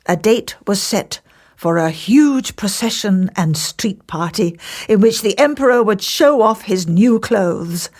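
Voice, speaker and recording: A woman reading a children's fairy tale as scripted narration, with deliberate, theatrical phrasing. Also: mid-range voice, expressive, a little mellow. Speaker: storyteller.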